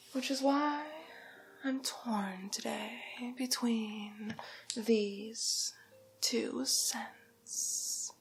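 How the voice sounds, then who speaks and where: A woman playing a haughty, vain, glamorous villainess in an ASMR roleplay, whispering breathily and condescendingly with drawn-out endearments. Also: mid-range voice, vocal fry, slight background noise. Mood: luxuriating, persuasive.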